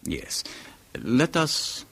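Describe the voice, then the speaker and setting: An actor playing a grimly precise pathologist, explaining formally and deliberately in a radio horror drama. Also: male voice, medium pitch, wildly swooping pitch, gravelly, sharp tone, breathy edge, full character voice. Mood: measured, guarded. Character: French male pathologist, formal, grimly precise, self-satisfied.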